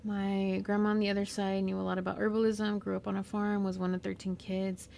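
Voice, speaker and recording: A woman with a light Southern California accent, whose first language is Spanish, muses aloud without a script. Her voice carries heavy vocal fry and stays fairly level in pitch. A faint hiss sits under the recording. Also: little pitch movement, darkish tone. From Mexican-American. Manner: slow, reflective, with pauses and fillers. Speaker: podcast host, therapist. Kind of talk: unscripted personal monologue.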